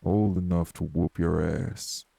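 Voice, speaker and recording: Man acting dark, gravel-voiced morose older wraith. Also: fairly even intonation, slow, no room sound, heavily acted. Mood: gruff, challenging. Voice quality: very gravelly, husky, dark low voice. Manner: folksy, blunt, scolding. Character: morose older male wraith, rural homebody, stern but hospitable. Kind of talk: dramatic audio roleplay acting.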